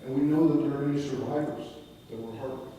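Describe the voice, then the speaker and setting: A man's voice: medium pitch, fairly even intonation, quick pace, mellow, lo-fi sound, a little hiss or hum. Older man speaking out passionately in a hall. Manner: impassioned, emphatic. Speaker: audience member. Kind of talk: audience comment at a talk. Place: large synagogue sanctuary, indoors. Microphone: handheld mic. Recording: echoey hall from far off.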